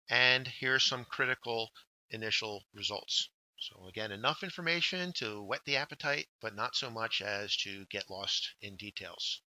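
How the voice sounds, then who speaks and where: A man narrating a scripted instructional workshop, explaining step by step with deliberate emphasis on key words. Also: mid-range voice, sharp tone, dry recording, rough recording. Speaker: workshop instructor, journal editor.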